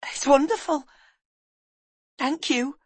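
A woman's voice: fairly high pitch, lively intonation, moderate pace, slightly rough, no room sound, voice pushed up. A woman voicing a shy, wary orphan boy with an artless, earnest manner in an audiobook. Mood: amazed.

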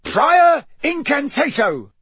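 A man's voice: high voice, sing-song, a little slow, a bit bright, breathy edge, dry recording, voice pushed up, exaggerated voice. Man acting a barking, accusing wizard official. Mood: triumphant roar. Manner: barking, growling, interrogating. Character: blustering, accusing male wizard official, harsh and suspicious.

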